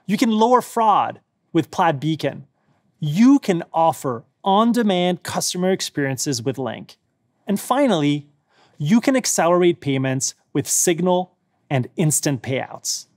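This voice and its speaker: Measured middle-aged man presenting a keynote. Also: fairly high pitch, very animated, no room sound, studio quality. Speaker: company executive presenting. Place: studio set.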